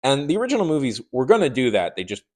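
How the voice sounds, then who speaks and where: A man giving a conversational, opinionated review in a wry, animated manner. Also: mid-range voice, racing. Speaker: video reviewer. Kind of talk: conversational review commentary to viewers.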